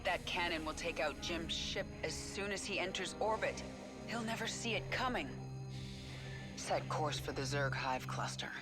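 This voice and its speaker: A woman's voice: fairly low pitch, moderate pace, close to an everyday voice. A woman playing a hardened, determined former alien queen in a video game, speaking in a terse, commanding way. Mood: grim resolve. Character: hardened, determined female former alien hive queen, now human again. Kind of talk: dramatic video game acting. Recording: game music behind the voice.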